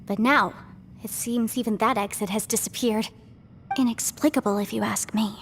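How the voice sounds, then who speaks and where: A woman playing a cool, skeptical, analytical adventurer in a video game, measured and articulate. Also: a little high, steady tone, fairly bright tone, in a large hall, slight background noise. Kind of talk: English video game voice acting.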